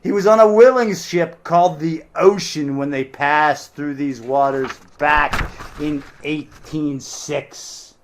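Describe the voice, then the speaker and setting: A man's voice: fairly high pitch, takes it slowly, hardly any pauses, noisy background. A man voicing an unshaven old-time sailor who spins grim sea tales with relish, reading from a novel. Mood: salty yarn-spinning. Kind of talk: novel read aloud.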